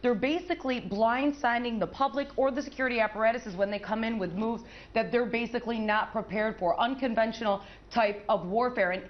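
A woman's voice: a little high, a little fast, few pauses, lo-fi sound, slight background noise. A woman correspondent giving a live field report, earnest and often repeating her words.

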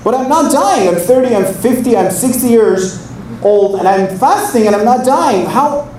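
A man gives a storytelling sermon, throwing out rhetorical questions and then sinking into quiet asides. He sounds distant from the microphone, and the room rings with heavy echo. Vehicle noise is clearly audible underneath. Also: extremely high pitch, normal speed. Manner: animated, swings from loud questions to quiet asides. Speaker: religious speaker addressing young listeners. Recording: very echoey room, far from mic, vehicle noise.

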